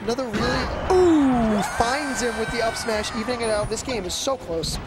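A young man giving quick, excitable play-by-play commentary at a live gaming tournament. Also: very high-pitched. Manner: quick, excitable calls of the action. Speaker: esports commentator. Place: tournament venue. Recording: loud game music underneath. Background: loud game music.

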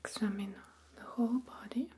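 A young woman speaking in a soft, breathy whisper, gently and slowly reassuring as a doctor in an ASMR medical role play, close to the microphone. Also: mid-range voice, steady tone, noisy background. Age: young adult. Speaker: ASMR performer playing a doctor.

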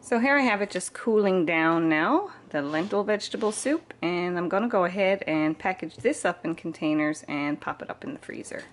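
A woman's voice: on the low side, expressive, moderate pace, hardly any pauses, a little hiss or hum. A middle-aged woman chatting casually through a homesteading kitchen vlog, plainly explaining each step while filming in her home kitchen on a handheld camera. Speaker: homesteading vlogger.

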